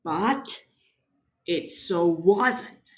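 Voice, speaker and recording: A woman reading a children's storybook aloud with expressive, deliberate delivery and dramatic emphasis. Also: on the low side, dull audio. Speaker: parent reading a picture book aloud. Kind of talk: animated children's storybook narration.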